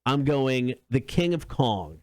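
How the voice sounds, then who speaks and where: A man's voice: medium pitch, sing-song, slow, darkish tone, no room sound. A man co-hosting a podcast, telling stories casually with slangy, emphatic enthusiasm. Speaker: podcast co-host. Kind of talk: casual chat and storytelling.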